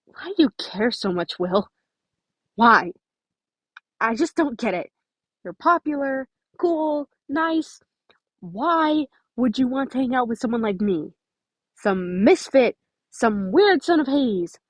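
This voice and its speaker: Woman voicing a brooding, bitter misfit teenage boy, high-pitched. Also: lively intonation, takes it slowly, long pauses, no room sound. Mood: hurt, defensive. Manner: bitter, emotional, confrontational.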